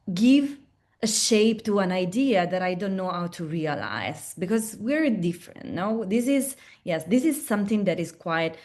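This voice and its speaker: Webinar presenter: a woman with an Italian accent and a lowish voice, chatty and loose. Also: a little mellow. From Italy. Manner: chatty, restarts often, tag questions like "no?". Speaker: platform representative presenting at a webinar.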